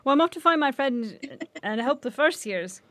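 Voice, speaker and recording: Lady playing a confident school witch girl, brisk, highish voice, dry sound. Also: expressive, a bit bright, dry recording. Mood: firm. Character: brisk, self-assured teenage witch schoolgirl.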